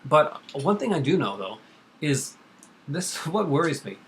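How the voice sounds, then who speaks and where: A man's voice: a little high, very animated, measured pace. A middle-aged man hosting a casual video chat, with a rambling, opinionated way of talking. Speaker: host of a video channel. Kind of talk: casual chat about comics. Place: kitchen at home. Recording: faint background noise.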